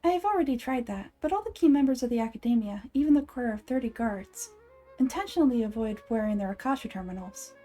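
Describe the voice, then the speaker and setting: Woman voicing a soft, mellow, wise child goddess. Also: a little high, lively intonation, soft background music. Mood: thoughtful. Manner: measured, thoughtful, earnest. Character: Nahida, gentle wise child-like goddess, calm and earnest. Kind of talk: fan dub of anime game dialogue.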